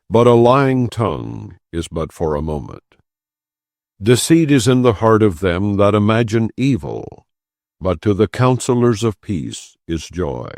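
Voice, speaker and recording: A man with a very deep, gravelly voice reads scripture aloud as an audiobook narrator. His delivery is solemn and formal, slow and deliberate, with a good deal of rise and fall in the phrasing. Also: darkish tone, dry recording, clean studio sound. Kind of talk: solemn non-dramatized Bible reading.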